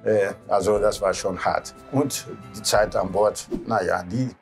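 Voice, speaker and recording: A man's voice: lowish voice, fairly even intonation, unhurried. Older Greek-accented man reminiscing, music behind. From Greece. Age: 60s. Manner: non-native German with loose grammar, often ending on a questioning "ja". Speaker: cruise ship captain. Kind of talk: casual reminiscing in an interview. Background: background music.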